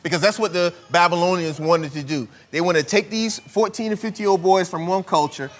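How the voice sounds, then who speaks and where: A man's voice: high-pitched, a little fast, long reverb tail. A man preaching a sermon, conversational and full of rhetorical questions to the congregation.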